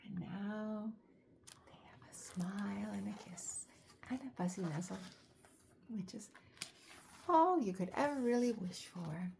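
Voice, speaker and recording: A woman reading a bedtime story aloud to young children, soft and often breathy, adding chatty asides in an expressive way. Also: medium pitch, a little slow, long pauses, slight background noise. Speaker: children's story reader, host.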